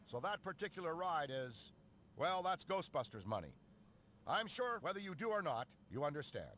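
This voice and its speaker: Wry ghostbuster type in a video game, higher voice, swingy delivery, always working the money angle, bit noisy. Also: male voice, big pitch swings, normal speed, a bit bright. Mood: wry, sly. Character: wry, money-minded male Ghostbuster team member. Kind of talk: scripted game character dialogue.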